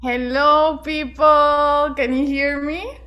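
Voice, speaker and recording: A woman's voice: sits high, fairly even intonation, slow, pushed and bright. A young woman from Portugal, giving an upbeat, bubbly presentation over a video call with many fillers. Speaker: keynote speaker.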